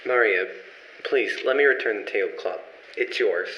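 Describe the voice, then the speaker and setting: A man playing a kind, earnest young pastor in an amateur old-time radio play, speaking gently and with measured care. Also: fairly low pitch. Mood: kind, grateful. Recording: echoey, muffled, noisy amateur recording.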